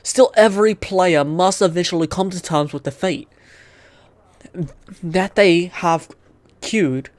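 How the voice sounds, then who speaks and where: A man's voice: sits high, slow, pauses often, no room sound. A man hosting a gaming video, chatting casually and reading game text aloud, often stumbling over the words as he goes.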